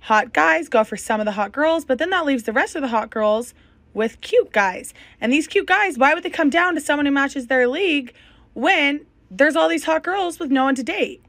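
A young woman explains her point in a chatty, matter-of-fact way, her voice high. The recording is close and dry. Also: expressive, normal speed, a bit bright, dry recording. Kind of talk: casual explainer.

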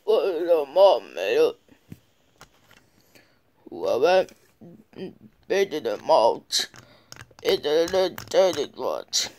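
Guy playing with toys in a bedroom, rambly and slow, slightly high voice that swings around. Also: big pitch swings, a little mellow. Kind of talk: improvised toy-car skit. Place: bedroom at home.

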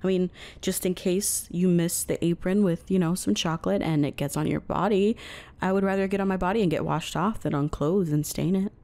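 A woman's voice: fairly low pitch, mellow, lightly acted. A woman playing a coy, teasing, dominant wife in an intimate roleplay audio, talking playfully to the listener. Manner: coy, teasing, slow breathy murmurs mixed with playful chatter.

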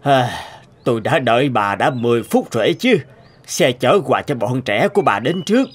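A man's voice: medium pitch, expressive, normal speed, slightly rough. A man playing a stern, self-important old scientist in a cartoon, brusque and pompous. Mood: defensive. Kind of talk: animated cartoon dialogue. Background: music.

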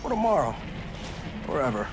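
An actor playing a loyal, wry young male soldier in a video game, speaking in an animated way. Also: mid-range voice, normal speed, background noise, natural voice. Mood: wry, low-key.

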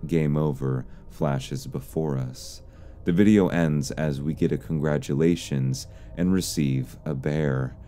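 Very deep-voiced man narrating. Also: dark tone. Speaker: video narrator explaining a horror series. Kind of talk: scripted narration.

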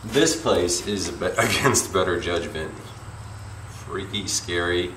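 A middle-aged man with a gravelly voice, talking casually and a little uneasily to viewers in a rambling handheld vlog. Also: sits low, takes it slowly, fairly bright tone. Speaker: urban exploration vlogger. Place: abandoned derelict house and surrounding woods. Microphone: handheld camera at arm's length. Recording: faint outdoor noise with chirping insects.

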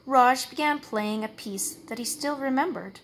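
A woman reading a picture book aloud as a storyteller, with expressive, measured, dramatic phrasing. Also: high-pitched, slight background noise. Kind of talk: expressive read-aloud narration.

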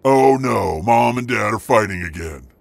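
A man playing a wounded, stubborn dragon-shifter henchman in an audio roleplay, gravelly, strained and cheekily sarcastic. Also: lowish voice, expressive, a little slow, no room sound, exaggerated voice. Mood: sarcastic, weary.

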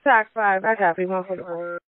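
A woman's voice: mid-range voice, fairly even intonation, a little fast, heavy background noise. A woman working as an emergency dispatcher, speaking in clipped procedural style over the radio. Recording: lo-fi narrow radio dispatch audio.

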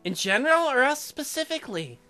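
A sweet young newlywed wife from a visual novel, put on by a man. The voice is lifted high and bright, with a teasing tone. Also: very animated, a little fast, faint music behind, exaggerated voice. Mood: coy.

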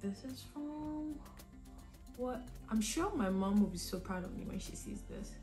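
A young woman with a slightly hoarse voice from a cold, chatting her way through a hair tutorial at home with lots of ums and restarts. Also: on the high side, lively intonation, a little slow. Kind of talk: casual step-by-step tutorial. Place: living room at home, indoors. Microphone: camera in front of her at desk distance. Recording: echoey room with soft music behind.

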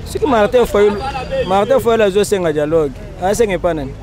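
A young Congolese man talks quickly in a high voice, arguing his point in a street interview. A few voices from the street are faintly behind him. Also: lively intonation, crowd noise. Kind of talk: questioning, argumentative opinion. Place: busy street outdoors. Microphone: handheld mic.

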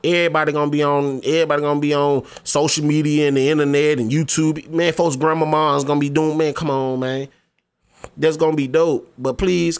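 A man in his twenties talking casually in a gaming vlog, laid-back and slangy, often pleading and repeating himself. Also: a little high. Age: 20s. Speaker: gaming YouTuber.

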